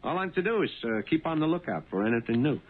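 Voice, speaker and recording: An actor playing an uneasy, honest working-class cab driver in a 1970s radio drama. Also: male voice, a little high, very animated, quick pace. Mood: eager. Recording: tinny, band-limited 1970s radio broadcast.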